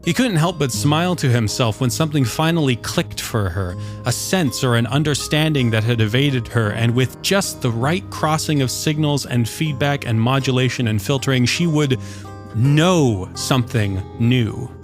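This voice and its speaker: A man's voice: mid-range voice, normal speed. A man reading scripted fiction as the narrator of a story. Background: soft guitar music.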